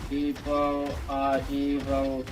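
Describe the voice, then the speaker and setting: A man playing a childlike, obedient but menacing robot in an old-time radio drama, speaking deliberately in a monotone. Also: medium pitch, low-quality recording. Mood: obedient, blank. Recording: old radio recording, music behind.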